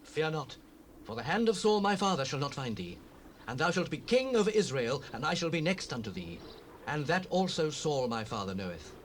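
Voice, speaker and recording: An actor playing a gentle, loyal prince in a dramatized Bible recording, earnest and encouraging, with birds behind. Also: male voice, fairly high pitch, sing-song, moderate pace, slight background noise. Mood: reassuring.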